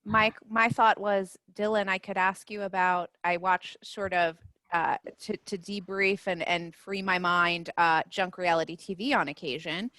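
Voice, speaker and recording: A woman's voice: fairly low pitch, steady tone, unhurried, sharp tone, no echo. A woman chatting on a video call, hesitant and full of fillers. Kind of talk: casual video-call chat.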